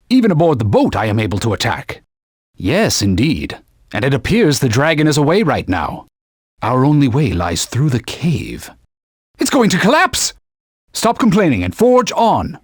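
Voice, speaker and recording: An actor playing a stern, proud talking sword who guides a hero with formal, old-fashioned speech in a video game. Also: male voice, fairly high pitch, husky, no room sound, clean studio sound, full character voice. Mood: alarmed. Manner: formal, stern, archaic phrasing. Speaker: sword companion giving gameplay hints. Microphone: dry studio booth.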